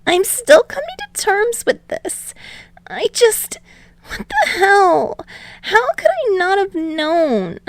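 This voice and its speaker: Woman as frazzled teen boy hero, high strained pitch, snarky, dramatic, whiny, dry close sound. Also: huge pitch swings, slow, no room sound, full character voice. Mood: stunned, overwhelmed. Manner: whiny, indignant, sarcastic. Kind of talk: audiobook character voice for fan fiction.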